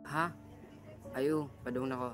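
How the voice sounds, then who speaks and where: A man's voice: medium pitch, fairly even intonation, a little slow, over music, close to an everyday voice. A man with a Filipino accent playing an evasive young musician boyfriend in a radio drama. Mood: hesitant, evasive.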